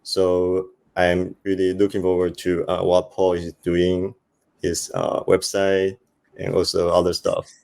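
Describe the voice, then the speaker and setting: A man with a Taiwanese accent speaking informally on a panel, halting and hesitant, often repeating words. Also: deep voice, monotone, creaky, darkish tone. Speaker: conference panelist, investor.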